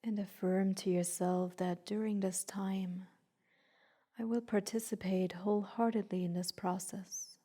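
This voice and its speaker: Soft, mellow woman guiding meditation. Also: medium pitch. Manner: soothing, unhurried, gently instructing with long pauses. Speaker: guided meditation teacher. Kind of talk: slow guided meditation.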